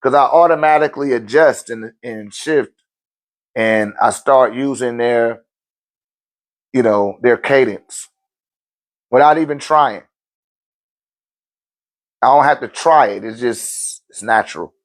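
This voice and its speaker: A man's voice: medium pitch, slow pace, long pauses. Casual, slangy man on a live stream. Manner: loose, conversational, slangy, laughs often. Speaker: spiritual live-stream host. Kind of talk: casual live-stream talk answering viewer questions.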